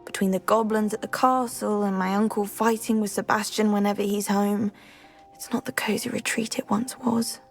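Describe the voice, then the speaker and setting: An actress playing a tired, gentle young witch suffering from a curse, speaking softly with sighs. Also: medium pitch, little pitch movement, normal speed, faint music behind, lightly acted. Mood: weary. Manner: soft, tired, sighing. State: weary. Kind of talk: video game cutscene acting.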